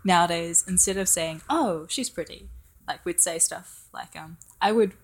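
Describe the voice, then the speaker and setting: A woman's voice: lowish voice, moderate pace. Playful young woman podcaster. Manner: playful, laughs a lot, acts out quotes. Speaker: podcast co-host. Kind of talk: casual chat.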